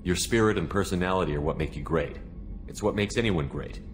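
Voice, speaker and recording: An actor playing a steady, direct military space commander in a video game, firm and probing, with music behind him. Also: male voice, sits low, brisk, close to an everyday voice. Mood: probing, reassuring. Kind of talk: video game dialogue acting. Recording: background music under the voice.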